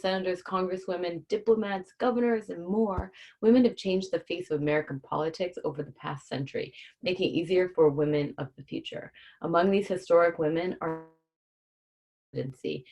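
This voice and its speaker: A woman's voice: lowish voice, moderate pace, darkish tone. A woman hosting a casual livestream read-aloud for children, talking informally and keeping things simple for young listeners. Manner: informal, explains hard words simply for children. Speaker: host reading a children's book on a livestream.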